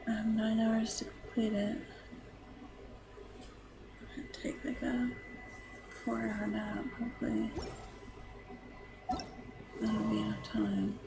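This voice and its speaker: A sleepy woman giving casual gameplay commentary in a hushed, halting way. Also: fairly high pitch, animated, gravelly, noisy background. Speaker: game streamer. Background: game music.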